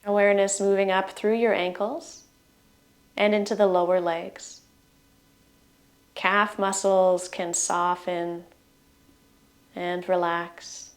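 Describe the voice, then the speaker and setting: Slow-paced woman guiding meditation. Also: fairly low pitch. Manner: soothing, gentle instructions in short phrases with trailing ends. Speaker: meditation guide. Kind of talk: guided relaxation meditation.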